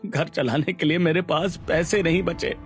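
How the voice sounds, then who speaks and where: A man's voice: a little high, moderate pace, faint music behind, close to an everyday voice. A man playing a worried, struggling husband, anxious and downcast, in a cartoon story. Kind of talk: Hindi cartoon story acting.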